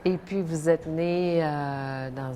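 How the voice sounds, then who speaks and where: A woman interviewing a guest on local TV, asking gentle, encouraging questions. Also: low voice, steady tone, takes it slowly, a crowd in the background.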